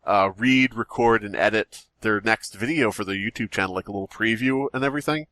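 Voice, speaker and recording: A man co-hosting a podcast, chatting in a jokey, animated way and laughing often. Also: on the low side, fairly quick, no echo. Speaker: podcast co-host. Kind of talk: casual comedic banter.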